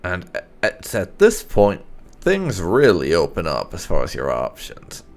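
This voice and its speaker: A man hosts a gaming video in a low, creaky voice. His pitch swings widely as he rambles casually through his commentary, at an unhurried, slowish pace. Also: huge pitch swings. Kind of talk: casual gameplay commentary with light roleplay.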